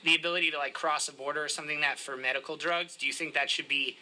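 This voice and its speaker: A man's voice: a little high, fairly even intonation, quick pace, voice pushed up, poor audio. A man putting on a Massachusetts accent to play a brash, overly chummy prank caller who calls himself D-Spin. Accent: put-on Massachusetts. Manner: cocky, overly chummy, gets loud and indignant. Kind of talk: prank phone call. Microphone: recorded from a phone on speaker.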